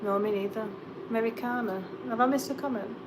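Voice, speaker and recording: Chatty English woman, fairly high voice. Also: fairly quick, background noise. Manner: chatty, rambling, asides while cooking. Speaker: fitness coach. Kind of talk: casual live-stream Q&A. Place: home kitchen.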